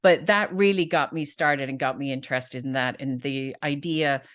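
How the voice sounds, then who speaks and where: A woman's voice: rumbling low, normal speed, fairly bright tone. A woman from Dublin with an Irish accent, a guest chatting and explaining matter-of-factly. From Ireland. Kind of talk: casual interview chat.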